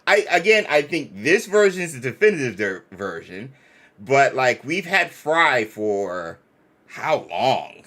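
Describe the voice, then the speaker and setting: A man's voice: mid-range voice, very animated, takes it slowly, a bit bright. A man co-hosting a casual live reaction stream, enthusiastic and slangy, often repeating himself for emphasis.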